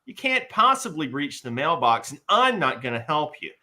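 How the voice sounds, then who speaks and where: A man's voice: fairly high pitch, very animated, moderate pace, sharp tone, exaggerated voice. A man voicing a bossy, scornful young cartoon girl in a scolding, blunt manner at a live table read. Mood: dismissive.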